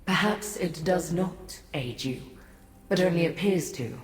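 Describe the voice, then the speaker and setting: An actress playing an ancient, aloof godlike being in a fantasy game, speaking formally and with haughty, cryptic condescension. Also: female voice, on the low side, expressive. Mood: grave, composed. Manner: measured, formal, condescending to mortals. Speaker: video game character. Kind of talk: scripted fantasy game dialogue. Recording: echoey, long reverb.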